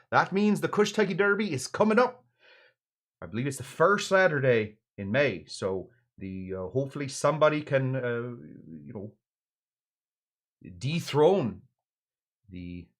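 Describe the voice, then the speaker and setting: A man hosting a casual livestream in a put-on Irish accent, chatting in a halting, rambling, jokey way with mock-grand asides. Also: a little high, expressive, a bit bright. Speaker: livestream show host. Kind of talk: casual livestream chat, greeting viewers and presenting drinks.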